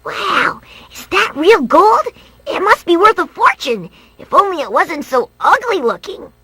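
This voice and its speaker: A woman's voice: very high-pitched, big pitch swings, a little slow, sharp tone, no room sound, full character voice. A woman voicing a plucky, eager boy hero in a cartoon video game, with a breezy kid-like manner. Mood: casual.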